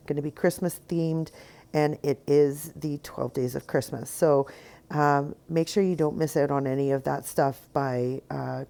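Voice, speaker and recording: A woman with a low, smooth voice leads a casual painting tutorial. A cold leaves her sounding stuffy and a little raspy at times. She wanders through her explanation with plenty of ums and uhs. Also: dark tone. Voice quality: slightly raspy. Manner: rambling, many ums and uhs. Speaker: rock painting tutorial host.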